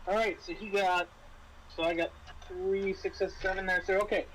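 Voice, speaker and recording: A man acting as game master, explaining a tabletop game casually in a halting way. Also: high-pitched, flat delivery, a bit bright. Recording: clearly noisy room recording.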